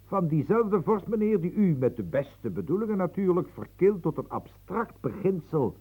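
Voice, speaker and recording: An earnest, pleading adviser in a radio play. His voice is dark and lively in pitch as he urges and warns. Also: moderate pace, husky, full character voice, low-quality recording. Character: loyal adult male adviser, urgent and warning.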